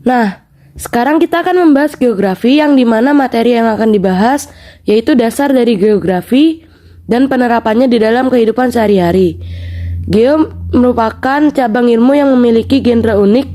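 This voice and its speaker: A young Indonesian-accented woman co-hosts a student podcast with scripted lessons. Her voice is slightly high yet soft and rounded in tone. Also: normal speed.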